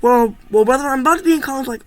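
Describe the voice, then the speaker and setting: Higher-pitched, casual young female voice. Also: racing, no room sound. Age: late teens. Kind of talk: informal spoken pep talk.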